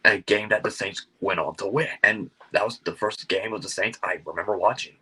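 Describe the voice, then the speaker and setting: A man with a low, rough-edged voice gives casual answers as a football fan guest. His tone is forceful and bright, and he keeps things short and matter-of-fact. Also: brisk, low-quality recording. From Alabama, United States. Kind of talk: casual sports interview answers.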